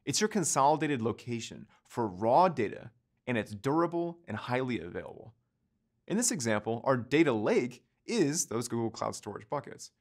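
A man in his thirties presenting a scripted online course lecture, explaining each point while gesturing with both hands in a studio. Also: medium pitch. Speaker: course instructor.

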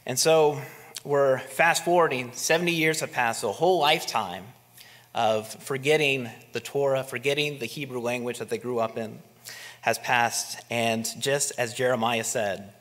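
A man in his thirties preaches at a pulpit microphone. His pitch sits in the middle range for a man. He talks to the congregation conversationally and poses rhetorical questions, and his voice carries a long reverb through the church hall. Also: steady tone, moderate pace, fairly bright tone. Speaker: pastor. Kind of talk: sermon. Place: church sanctuary, at a wooden pulpit.

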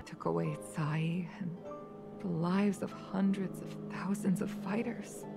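Solemn fox-eared mother and veteran pilot, lowish gravelly voice, wistful, game drama, music behind. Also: steady tone, takes it slowly. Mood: anguished. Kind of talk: dramatic video game acting.

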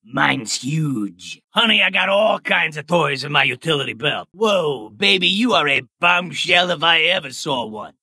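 A man voicing a brash, greedy, wisecracking male goblin in a video game, gravelly and sharp, like a fast-talking wise guy. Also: on the high side, big pitch swings, dry recording, exaggerated voice. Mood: sleazy, flirty. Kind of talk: video game character voice lines.

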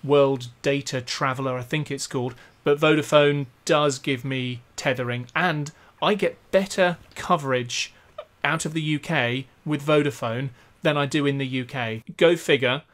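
A man's voice: mid-range voice, normal speed, a bit bright. A man in his forties with a British accent, explaining things in a casual tech discussion on a studio mic. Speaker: podcast co-host. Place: home office, video call. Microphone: studio condenser mic.

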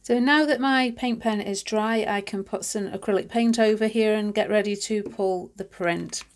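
Woman, stuffy nasal voice, congested, chatty craft host, relaxed with ums. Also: medium pitch, moderate pace. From United Kingdom. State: nasal and congested from hay fever. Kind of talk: casual craft demonstration. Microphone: overhead camera on hands, voice-over.

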